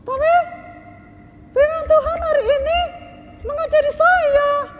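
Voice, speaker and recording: A woman voicing an upset little girl pupil in a Sunday school skit, with a high childlike voice and drawn-out words. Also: fairly even intonation, big-room sound, slight background noise. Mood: cheerful.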